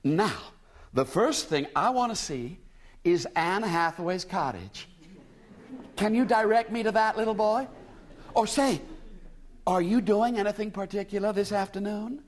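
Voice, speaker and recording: A man voicing a weepy, gushing young American woman tourist with a high, tearful voice and an American accent. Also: expressive, unhurried, long pauses. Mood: eager. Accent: American put on.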